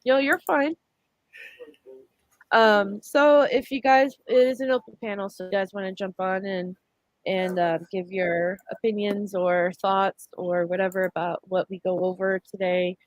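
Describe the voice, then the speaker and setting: A congested woman hosts a casual livestream, her voice dry and close with no room around it. She chats at a medium pitch, full of ums and restarts as she sets up the discussion. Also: fairly even intonation, unhurried, no room sound. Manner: chatty, lots of fillers and self-corrections. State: congested from a cold. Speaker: livestream host.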